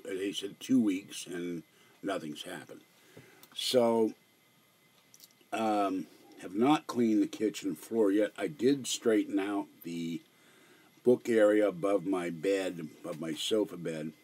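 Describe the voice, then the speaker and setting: An older man with a hoarse voice, chatting on a live stream from a small room at home, meandering and halting with many fillers. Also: sits low, a little mellow. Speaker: livestreamer. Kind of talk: rambling live stream chat.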